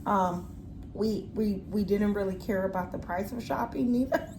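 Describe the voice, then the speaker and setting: A woman's voice: mid-range voice, moderate pace. Woman, forties, casual vlog chat, reflective, faint room noise. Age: 40s. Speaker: vlogger.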